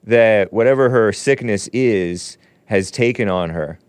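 A man's voice: lowish voice, measured pace, smooth, dry recording. A man hosting a talk show, chatting casually, laughing easily and trailing off into fillers. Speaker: show host.

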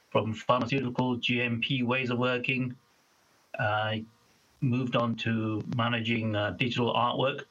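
Malaysian guy in his 50s, chatty guest, middle pitch, kinda muffled lo-fi audio. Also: fairly bright tone. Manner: chatty, listing details. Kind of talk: casual interview talk.

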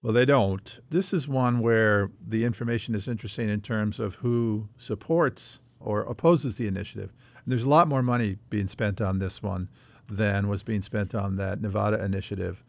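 A man hosting a conversational policy news discussion, explaining things in a measured way. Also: lowish voice, creaky, dry recording. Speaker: podcast host leading the discussion.

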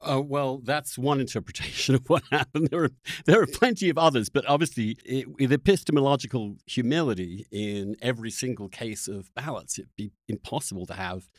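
A man with a mid-pitched voice hosts a debate-style interview. He presses his points skeptically and insistently. The sound is dry, with no room echo. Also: brisk. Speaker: podcast host and interviewer.

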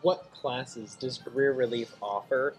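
A woman's voice: rumbling low, unhurried, faint music behind, rough recording. A woman hosting the interview, teasing her guest and laughing along with a playful manner. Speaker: interview host.

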